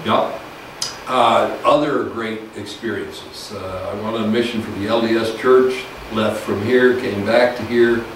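Loud older man speaking from a podium. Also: on the low side, steady tone, measured pace. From US (Idaho). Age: 60s. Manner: loud, folksy and reminiscing. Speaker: guest speaker, government teacher. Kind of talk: informal speech to a small audience. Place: small meeting room, indoors. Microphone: podium mic, heard from afar. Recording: echoey room, distant camera mic, hiss.

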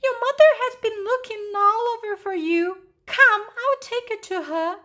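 A woman's voice: very high-pitched, a little slow, pushed and bright, pitched above the performer's own voice, exaggerated voice. A woman voicing a fussing, urgent messenger bird in a storybook. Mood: relieved, urgent.

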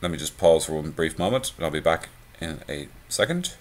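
Male tutorial presenter, extremely low voice, laid-back conversational delivery, voice-over screencast. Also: brisk. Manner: relaxed, conversational, many fillers. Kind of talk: screencast walkthrough.

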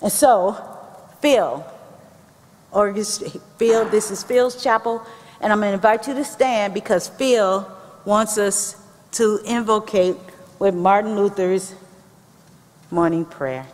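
A woman leads worship in a large chapel. She talks slowly, with measured phrases addressed to the congregation. The hall adds a long reverberation to her voice. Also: mid-range voice. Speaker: campus chaplain leading a chapel service. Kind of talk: addressing a congregation, welcome and prayer. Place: large indoor chapel with brick walls. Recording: echoey hall, picked up from the audience.

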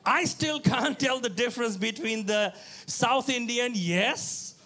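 A man from Bangladesh doing a stand-up comedy set on a club stage into a handheld mic, animated and full of put-on voices. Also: very high-pitched, unhurried.

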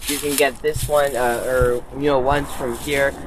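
A man's voice: mid-range voice, little pitch movement, unhurried. A young man hosting a casual walkaround video outdoors, upbeat and rambling with lots of fillers. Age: young adult. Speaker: host. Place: outdoor car yard. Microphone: handheld camera. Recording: outdoor yard noise.

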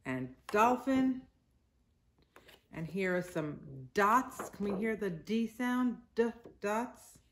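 Slow-paced woman reading to young children. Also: medium pitch, expressive, slight background noise. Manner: simple phrases with emphasized words and asides to children. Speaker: teacher reading a picture book to young children. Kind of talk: read-aloud storytime for young children. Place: living room at home, indoors.

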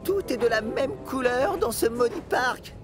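An actor voicing an eager, easily frustrated young male steam engine in a children's cartoon, expressive and exclamatory. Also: mid-range voice, a little slow, music in the background, close to an everyday voice. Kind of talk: animated children's series dubbing.

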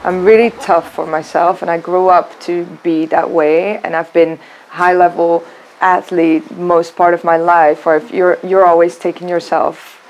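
A woman's voice: lowish voice, level tone, a little slow, darkish tone, soft background music, poor audio. A woman in her twenties, a cyclist, talking reflectively in an interview, often searching for words.

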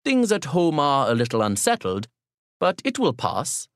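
A man's voice: fairly high pitch, wildly swooping pitch, moderate pace, no room sound, studio quality, voice pushed up. A man as a guarded young analyst. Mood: evasive, reassuring. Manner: polite, dismissive of concern. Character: guarded young male analyst, politely evasive.

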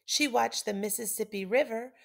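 A middle-aged woman reads a children's story aloud like a teacher, with deliberate, dramatic emphasis. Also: fairly high pitch, vocal fry.

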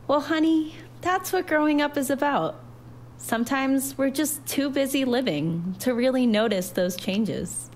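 A woman voicing a loving, gentle mother who speaks tenderly and encouragingly in a narrated story. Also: mid-range voice, expressive, slow, soft background music. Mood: warm, reassuring. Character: gentle, loving, reassuring mother of a growing girl.

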